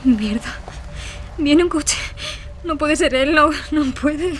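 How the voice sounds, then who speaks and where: A woman's voice: sits high, slow, background noise. An actress playing a young married woman on a night drive in a dramatized true-crime audio story. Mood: frightened.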